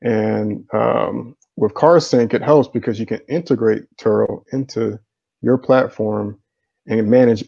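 A man's voice: lowish voice, gravelly, dark tone, breathy edge, muffled recording. A man hosting a live interview stream over a video call, casual and upbeat, with the odd um and man.

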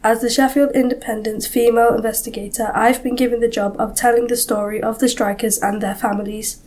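A woman's voice: a little high, level tone, fairly quick, a little mellow, close to an everyday voice, poor audio. A woman playing a female newspaper investigator of 1919, narrating a scripted audio drama in an earnest way. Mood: earnest, reporting.

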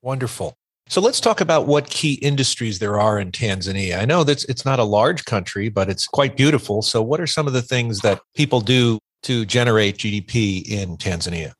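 A man's voice: fairly low pitch, lively intonation, moderate pace, slightly rough, dry recording. A man hosting a podcast interview, asking questions in a friendly, conversational way. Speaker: podcast host.

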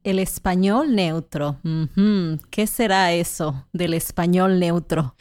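Slow-speaking Mexican woman podcaster. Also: on the low side, no room sound, studio quality. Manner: measured, often affirms with soft murmurs. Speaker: podcast co-host. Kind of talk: slow, clear conversational chat for learners.

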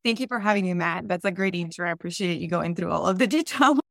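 A woman's voice: on the low side, fast talker, creaky, a bit bright, no room sound, studio quality. A woman from Colombia with a Colombian Spanish accent, a guest on a podcast, answering questions in a friendly, explanatory way. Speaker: podcast guest, real estate investor. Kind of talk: conversational interview answers.

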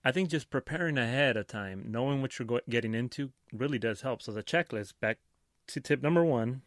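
A man's voice: mid-range voice, animated, a little fast, no room sound, studio quality. A man co-hosting a podcast, chatting casually and sharing practical tips. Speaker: podcast co-host.

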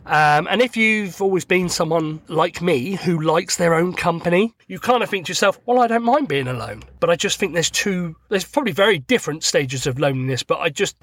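A middle-aged man from the UK hosting his own podcast, his voice croaky from a cold, chatting and joking at himself while sat in his parked truck. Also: fairly high pitch, fairly bright tone, no echo. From United Kingdom. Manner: chatty, self-deprecating, joking. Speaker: podcast host. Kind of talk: casual solo podcast monologue. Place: inside his parked truck cab by a main road.

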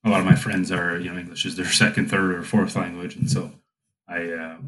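A young man hosting a relaxed conversation, talking in a thoughtful way with plenty of fillers. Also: low voice, brisk, rough recording. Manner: reflective, with frequent fillers. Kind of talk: casual conversation.

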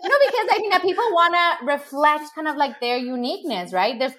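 A woman's voice: sits high, animated, a little fast, fairly bright tone. Chatty woman from Mexico, video call. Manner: chatty, many fillers and restarts. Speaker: guest, body positivity creator. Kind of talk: casual interview chat.

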